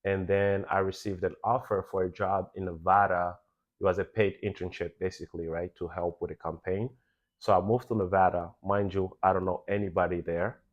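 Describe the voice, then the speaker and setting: Deep-voiced young man from Belgium. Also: a little fast, lo-fi sound. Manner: animated, acts out quoted exchanges. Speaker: podcast guest, nightlife VIP host. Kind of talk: casual interview storytelling.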